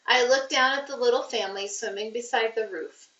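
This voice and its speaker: Bright-voiced woman reading aloud in echoey room. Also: fairly high pitch, fairly quick. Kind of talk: read-aloud of a children's novel. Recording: roomy, muffled, distant microphone.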